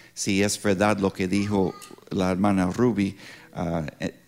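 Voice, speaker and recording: Deep, gravelly older American man preaching. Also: a little mellow. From Pittsburgh, United States. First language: English. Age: 60s. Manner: halting second-language speech with grammatical slips. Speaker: missionary preacher. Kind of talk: sermon with informal introduction.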